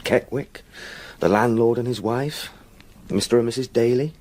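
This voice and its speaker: Actor as an earnest young English gentleman. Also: mid-range voice, moderate pace, natural voice. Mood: reflective, earnest. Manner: polite, formal, earnest. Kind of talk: period radio drama acting.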